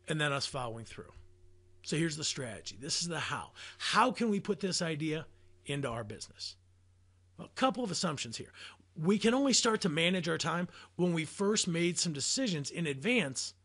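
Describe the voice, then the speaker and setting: A man with an American accent giving a motivational sales lesson as a coach, phrasing things emphatically and deliberately with rhetorical questions. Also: on the high side, fairly bright tone. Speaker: sales coach presenting a training video. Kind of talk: motivational instructional talk to camera.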